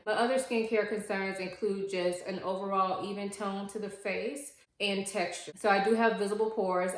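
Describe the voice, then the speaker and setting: Woman, late thirties, lowish voice, casual vlog chat, ums and likes, echoey room. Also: a bit bright. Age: 30s. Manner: chatty and explanatory, with frequent ums and likes. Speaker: beauty vlogger. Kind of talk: casual talk to camera.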